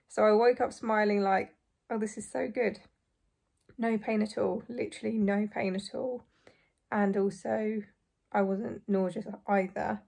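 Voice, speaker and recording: A young woman in her twenties, hoarse and croaky after surgery, chatting casually to camera from a small bedroom. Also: mid-range voice, darkish tone. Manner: chatty, relieved, frequent light laughs. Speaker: vlogger. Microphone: phone or camera close in front.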